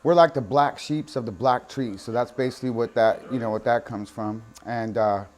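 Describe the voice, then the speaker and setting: A man's voice: medium pitch, normal speed, darkish tone. An American man in his forties talking conversationally in a casual documentary interview, often adding "you know". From San Diego, California, United States. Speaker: barber. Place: inside a barbershop. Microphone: lapel mic.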